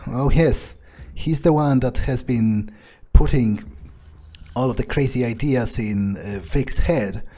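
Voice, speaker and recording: Man playing a husky, dark-toned cocky vampire. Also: mid-range voice, takes it slowly. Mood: blunt, scornful. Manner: hesitant, drawling, swearing. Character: cocky, crude, sadistic male vampire, relishing the night's violence. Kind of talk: in-character roleplay of a video game.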